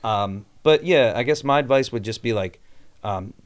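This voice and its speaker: A man's voice: medium pitch, moderate pace. A man, a singer and guitarist guesting on a podcast, talking loosely with many fillers and restarts. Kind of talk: casual interview chat.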